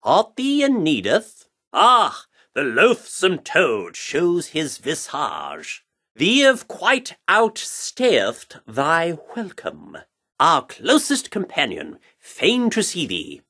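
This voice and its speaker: A man's voice: fairly high pitch, huge pitch swings, slow pace, pushed and bright, breathy edge, exaggerated voice. An actor voicing a pompous theatrical man in a video game, declaiming in archaic, mock-Shakespearean bombast. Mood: haughty, bombastic. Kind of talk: video game character voice lines.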